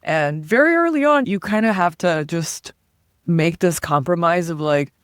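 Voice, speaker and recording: A woman from Taiwan, a comedian and guest on an interview, telling stories in an animated, chatty way and acting out the voices she quotes. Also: lowish voice, moderate pace, no echo, studio quality. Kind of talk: casual interview storytelling.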